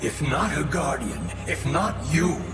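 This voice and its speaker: A slow, solemn ghostly wizard. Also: male voice, fairly high pitch, expressive. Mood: challenging. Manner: portentous, deliberate, persuasive. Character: solemn, commanding ghostly old male wizard mentor. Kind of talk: video game cinematic voice acting. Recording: loud music under the voice.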